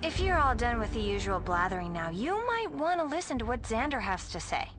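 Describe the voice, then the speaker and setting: A woman's voice: on the high side, big pitch swings, brisk, music in the background. A woman playing a snobbish, impatient young rich girl in a video game, with a snide, blunt delivery. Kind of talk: video game cutscene acting.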